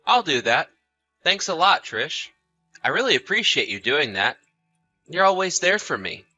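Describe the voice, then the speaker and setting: Man acting bright, animated easygoing husband. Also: a little high, normal speed, faint music behind, heavily acted. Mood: apologetic, reassuring. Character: easygoing husband, sociable, placating, mildly defensive. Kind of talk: audio drama dialogue.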